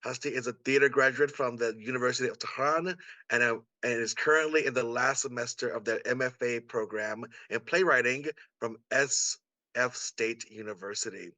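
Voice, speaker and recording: A man's voice: mid-range voice, normal speed, pushed and bright, dry recording, rough recording. A man hosting an online event in an effusive, encouraging manner. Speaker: event host. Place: indoors on a video call.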